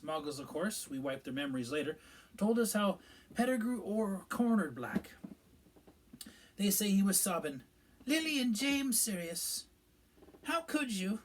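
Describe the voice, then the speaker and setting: A man's voice: high-pitched, pitched above the performer's own voice, low-quality recording. A man voicing a self-important middle-aged male government minister who talks in a pompous, evasive way.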